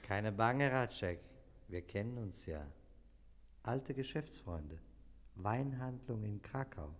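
A man's voice: lowish voice, animated, slow pace. An actor in a radio play as a sinister dead business partner, menacingly familiar as he haunts a guilty man. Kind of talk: German radio play acting. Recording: long cavernous reverb.

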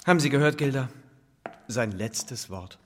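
Man acting broke dreamy lodger, radio drama, wry and impassioned, medium pitch, reverberant recording. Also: expressive, unhurried, slight background noise, close to an everyday voice. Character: penniless dreamy garret lodger, wry and despairing. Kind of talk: German radio play acting. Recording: long reverberant room.

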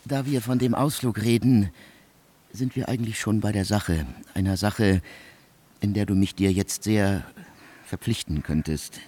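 A man playing a reserved, lonely composer of about forty in a radio drama, speaking formally and haltingly, as if confiding. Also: lowish voice, dark tone, slightly rough. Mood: hesitant confession.

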